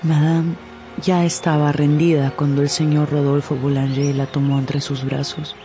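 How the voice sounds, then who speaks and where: A narrator telling a literary story in a measured, dramatic radio style. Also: mid-range voice, flat delivery. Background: music.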